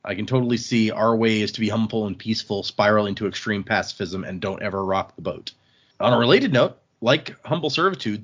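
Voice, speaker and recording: A man's voice: lowish voice, fairly bright tone, highs cut off. A man hosting a podcast, chatting casually and reading listener emails aloud in an easygoing, agreeable manner.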